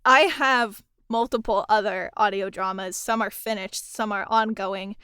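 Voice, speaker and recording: A woman's voice: medium pitch, measured pace, bright, forceful tone, clear, resonant tone, dry recording, clean studio sound. A woman interviewing her fellow audio drama producer in a chatty, friendly way. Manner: chatty, friendly, laughs between thoughts. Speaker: interviewer and dialogue editor. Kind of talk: casual interview chat.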